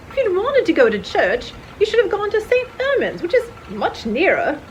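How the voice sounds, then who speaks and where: A woman's voice: extremely high pitch, huge pitch swings, normal speed, background noise, heavily acted. A woman playing a prim, shy, proper unmarried librarian in a dramatized novel reading, speaking politely and with restraint. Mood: mildly reproving.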